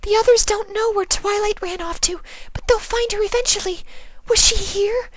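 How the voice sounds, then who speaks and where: A narrator voicing a timid, anxious young female pegasus pony in a thin falsetto, sweet and urgent. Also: fairly even intonation, normal speed. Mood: urgent, worried.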